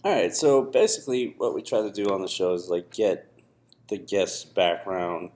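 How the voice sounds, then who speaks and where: A man hosting a podcast, chatting casually in a halting, hesitant way. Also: low-pitched, animated, a little mellow. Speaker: podcast host. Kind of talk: casual chat.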